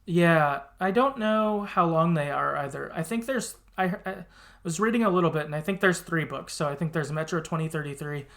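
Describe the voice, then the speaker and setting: A man's voice: a little high, normal speed, creaky, a bit bright. A man hosting a podcast in a casual, rambling and agreeable chat style with some hesitation. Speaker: podcast host.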